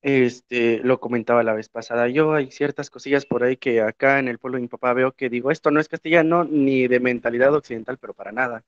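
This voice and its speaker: A man's voice: medium pitch, brisk, hardly any pauses, no room sound. A young Mexican man, a historian and Nahuatl teacher, speaking reflectively in a panel talk with many filler words. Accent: Mexican Spanish. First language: Spanish. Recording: dry, muffled lo-fi sound.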